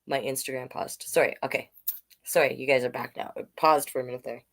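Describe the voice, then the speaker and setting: A woman's voice: very deep voice, steady tone, a little fast. A woman hosting a children's livestream storytime as a drag king, chatting directly to viewers between pages.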